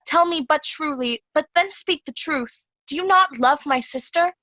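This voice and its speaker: A woman's voice: high voice, normal speed, sharp tone, rough recording. A woman playing a jealous, possessive noblewoman in love in a Shakespeare reading. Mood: coaxing, suspicious. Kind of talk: readers' theatre Shakespeare.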